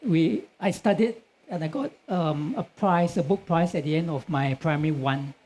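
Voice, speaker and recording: A man's voice: a little high, normal speed, a little mellow, slight background noise. A man, a Cantonese speaker, earnestly recalling his start as a writer on a panel. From Singapore. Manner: earnest, plain-spoken recollection. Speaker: author on a panel.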